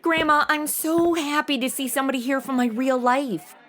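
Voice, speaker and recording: A woman's voice: sits high, moderate pace, close to an everyday voice. A woman playing a sassy modern young woman in a comedy audio drama, slangy and flippant. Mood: bubbly.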